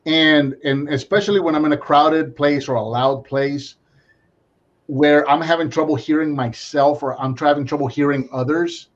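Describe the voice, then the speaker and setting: A man co-hosting a casual chat show, talking loudly and rambling with quick restarts. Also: a little high, steady tone, highs cut off. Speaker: podcast co-host.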